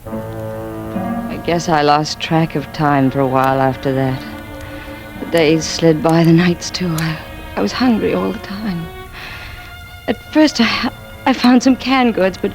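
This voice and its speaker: An actress playing an embittered, intense wife who feigns madness, confessing haltingly in 1940s radio suspense style. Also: female voice, lowish voice. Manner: dramatic, halting confessional delivery. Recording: vintage radio recording with music.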